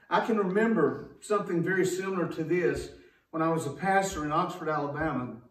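A man preaching a sermon as a pastor, in an emphatic, folksy way with rhetorical questions. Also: sits high. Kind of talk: sermon to a congregation. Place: church sanctuary, indoors at a wooden pulpit. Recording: echoey room, distant microphone.

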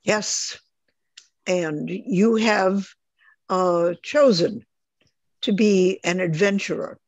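A woman leading a group discussion on a video call, speaking in a measured, thoughtful way. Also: medium pitch, no echo. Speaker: group facilitator. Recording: slightly muffled dry video call audio.

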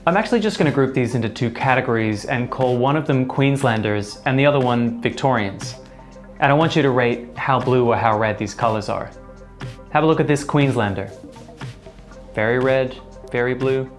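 A young man presenting a scripted educational explainer to camera in a studio, conversational with playful asides to the viewer. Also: medium pitch.

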